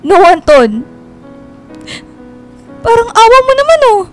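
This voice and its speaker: A woman's voice: extremely high pitch, big pitch swings, measured pace, heavily acted, poor audio. An actress playing a devoted, clingy young girlfriend in a radio drama, emotional and insistent in her pleading. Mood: tearful pleading. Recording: music bed under the voice.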